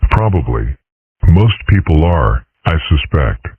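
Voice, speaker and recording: A man plays an eccentric dragon father with an extremely low, husky voice. He is courteous and formal, wordy and drily droll, in a cartoon dub with thin, phone-like sound. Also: sing-song, takes it slowly, no room sound, full character voice. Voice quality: very deep, husky. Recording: muffled narrow-band phone-like sound, very dry.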